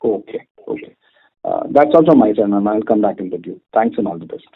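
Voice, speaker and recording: A man's voice: fairly low pitch, expressive, a little fast, slightly rough. Hesitant male analyst over a phone line. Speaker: financial analyst asking questions. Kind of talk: business question-and-answer. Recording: narrow muffled phone conference line.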